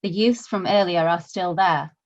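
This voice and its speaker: A woman's voice: on the low side, moderate pace, muffled recording. A woman reading stage directions as scripted narration.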